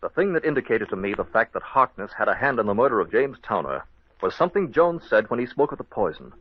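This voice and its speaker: A man playing an earnest, determined hospital doctor in an old radio mystery, with a grave, deliberate, theatrical delivery. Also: medium pitch. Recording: dull lo-fi old radio recording.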